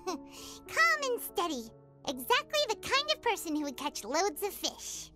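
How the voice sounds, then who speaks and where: A woman voicing a tiny, chirpy fairy companion in a game, squeaky, childlike, cute and frank. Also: big pitch swings, takes it slowly, exaggerated voice. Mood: cheerful, admiring. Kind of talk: game voice acting. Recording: loud tinkling music behind the voice.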